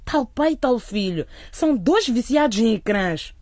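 Husky, exasperated wife. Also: female voice, fairly high pitch, sing-song, unhurried, darkish tone, dry recording. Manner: reproachful, sighing. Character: exasperated, nagging wife and mother. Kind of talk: radio drama acting.

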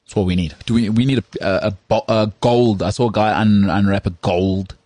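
An English man with a low, husky voice trades teasing jokes in loose podcast banter. He often restarts his sentences mid-thought. The recording is dry and close. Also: fairly even intonation, fairly quick, darkish tone, no echo. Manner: joking, teasing, stumbling restarts. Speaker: podcast co-host. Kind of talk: casual banter.

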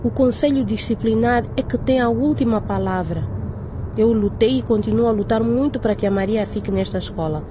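Casting: actress as polite, formal school headmistress, firm yet sympathetic, fairly high voice, radio drama, noisy vehicle ambience. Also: female voice, fairly quick, close to an everyday voice. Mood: regretful, firm. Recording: clear ambient vehicle noise behind.

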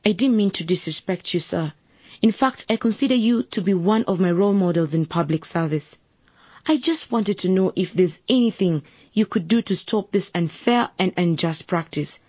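Radio drama: assertive young campaigner, formally polite, husky edge, dry close studio sound. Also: female voice, lowish voice, moderate pace, a little mellow, no room sound. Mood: earnest, principled. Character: determined, idealistic young woman running for civic office.

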